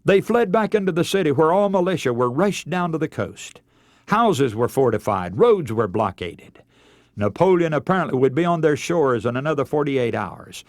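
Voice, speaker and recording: A man preaching a radio sermon in a measured, earnest, oratorical way, telling illustrative stories. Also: fairly high pitch, dry recording, studio quality.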